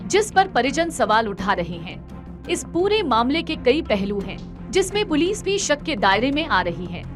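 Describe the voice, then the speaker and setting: A woman reading scripted news narration as a reporter. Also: high voice.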